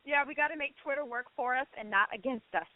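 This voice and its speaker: A woman's voice: high voice, fast talker, narrow, tinny audio. A woman hosting a live call-in show, encouraging and affectionate with the people she talks to. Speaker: radio show host taking listener calls.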